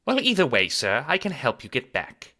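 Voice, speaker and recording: A man voicing a timid, polite female alien who sounds worried and gentle, in an audio drama. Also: mid-range voice, wildly swooping pitch, normal speed, pitched above the performer's own voice.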